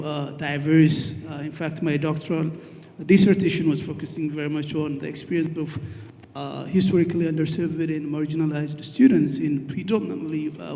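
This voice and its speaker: A man from Ethiopia introduces himself to a conference audience, pausing often on fillers as he speaks. His voice is slightly high and mellow, with an even, level delivery. The sound carries a long reverb from a large hall. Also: vocal fry. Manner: hesitant, many "uh" fillers. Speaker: panelist. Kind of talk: panel self-introduction.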